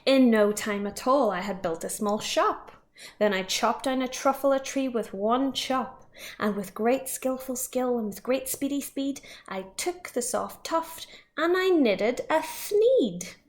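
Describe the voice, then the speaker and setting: A woman's voice: on the high side, lively intonation, a little slow. A woman, a teacher reading a picture book aloud expressively with small asides.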